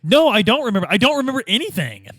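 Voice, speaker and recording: A man's voice: very high-pitched, racing, no echo, clean studio sound. A man playing a role-playing game, bantering casually and colloquially as he speaks for his character. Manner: colloquial, animated.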